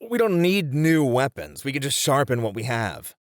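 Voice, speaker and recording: Guy doing a grumpy responsible warrior for fantasy roleplay audio, very animated, dry close recording. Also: mid-range voice, normal speed, no echo, close to an everyday voice. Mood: reluctant. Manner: exasperated, grumbling, earnest. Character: gruff, grumbling, responsible muscular male warrior adventurer.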